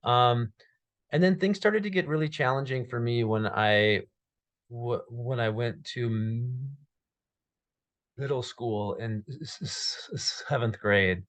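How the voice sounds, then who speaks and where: Guy in his 30s who stutters, lots of um and uh, talks slow, dark little room on a webcam. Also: medium pitch. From Minnesota. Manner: stutters with repeated sounds and blocks, many fillers. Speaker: guest speaker. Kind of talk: personal story told to camera. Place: small dark room indoors.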